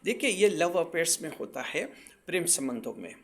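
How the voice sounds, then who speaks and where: Higher-pitched man explaining astrology advice. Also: animated, normal speed, fairly bright tone. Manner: didactic, repeats and rephrases points for emphasis. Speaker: astrology presenter.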